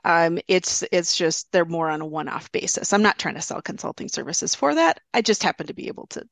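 A woman's voice: lowish voice, animated, fairly quick, vocal fry, no room sound, clean studio sound. A woman guest on an interview show, talking through business acquisitions in a conversational, explanatory way. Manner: explanatory, thinks aloud with restarts.

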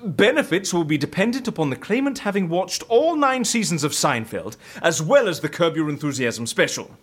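An eccentric male schemer for an audio comedy drama. His husky voice sits high and swoops widely, bossy and theatrical, with obvious relish. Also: sing-song, normal speed. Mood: gleeful, commanding. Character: gleeful eccentric male schemer plotting absurd political stunts.

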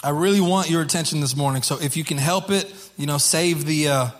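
Guy pastor preaching, kinda high voice, bit hoarse, repeats himself, real informal. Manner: informal, halting, repeats phrases. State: slightly hoarse from singing. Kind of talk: sermon to a congregation. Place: church service.

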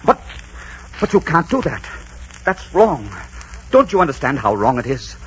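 An actor playing a headstrong, romantic conquistador in melodramatic, emphatic 1940s radio drama style. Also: male voice, a little high, very animated, measured pace, fairly bright tone, a little hiss or hum. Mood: indignant, urgent. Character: passionate Spanish conquistador, headstrong romantic hero. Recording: dull, muffled 1940s radio recording.

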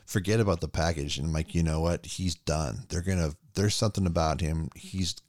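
A man co-hosting a casual movie podcast, retelling scenes with animated play-acting. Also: sits low, fairly quick, no echo, studio quality. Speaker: podcast co-host.

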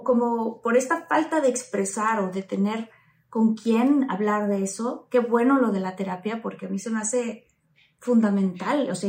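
A woman with a Mexican Spanish accent, co-hosting a casual conversation and asking follow-up questions. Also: medium pitch.

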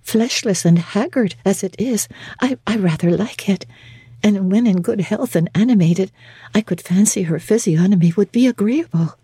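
Audiobook role: kind young gentlewoman, read by a female narrator, hushed, genteel, quietly curious. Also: medium pitch, moderate pace, husky, dry recording, clean studio sound. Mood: gentle musing. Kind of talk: dramatic audiobook character reading.

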